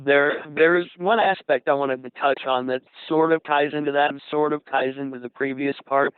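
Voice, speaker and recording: A man speaking as a panel guest in a measured conversational way, carefully qualifying his points, heard through a narrow radio transmission. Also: a little high, steady tone, clear, resonant tone, no echo.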